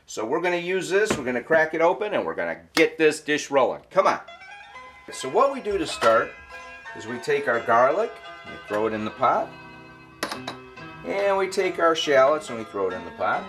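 Chatty home chef walking through a recipe. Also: male voice, fairly high pitch, sing-song, moderate pace, music in the background. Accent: Detroit. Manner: chatty, explains steps as he works. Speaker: home chef and cooking show host. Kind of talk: casual cooking demonstration. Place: home kitchen, indoors.

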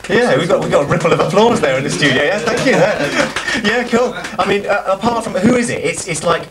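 A young man hosting a live TV music show, chatting loosely with many restarts and quick laughs. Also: sits high, animated, over a noisy crowd. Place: indoor TV studio set styled as a cafe.

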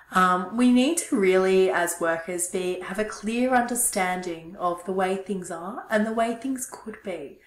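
A woman in her thirties giving a recorded university lecture, hoarse and throaty from losing her voice, relaxed and chatty with many ums. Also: fairly low pitch, roomy sound. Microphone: webcam at desk.

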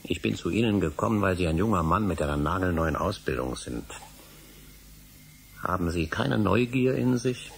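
Insistent, creaky-voiced older scholar. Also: male voice, deep voice, expressive, normal speed, a little hiss or hum. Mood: urgent. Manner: rhetorical, emphatic, insistent. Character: obsessive older male scholar, insistent and indignant. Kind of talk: German radio drama acting.

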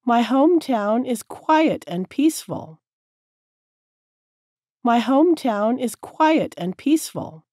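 A woman teacher reading short sentences slowly and clearly, each one said several times for learners to repeat. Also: a little high, lively intonation, a little mellow, no room sound, clean studio sound. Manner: careful, clear enunciation. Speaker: English teacher. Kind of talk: slow repeated drill sentences.

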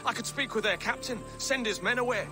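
Casting: craven monk informer, very high voice, stuttering, pleading, game drama under loud music. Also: male voice, brisk. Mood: panicked pleading. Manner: stammering, panicked, pleading. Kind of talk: video game cutscene acting.

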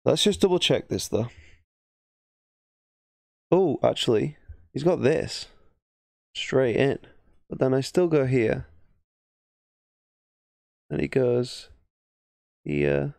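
A man's voice: mid-range voice, slow, vocal fry, long pauses, darkish tone, dry recording, studio quality. A man with an English accent giving casual, thinking-aloud game commentary as a chess streamer, often muttering half-finished thoughts. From England.

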